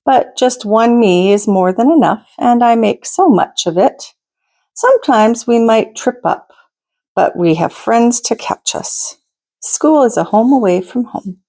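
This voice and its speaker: A woman reading a picture book aloud to children as a storytime host, in a deliberate, expressive manner with asides to her listeners. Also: on the high side. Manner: deliberate, expressive, pauses to ask listeners questions.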